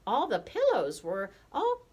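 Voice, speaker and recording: A woman's voice: fairly high pitch, wildly swooping pitch, a little slow, darkish tone, slightly rough. A woman preschool teacher speaking expressively to young children in simple, repetitive phrases, as in puppet storytelling.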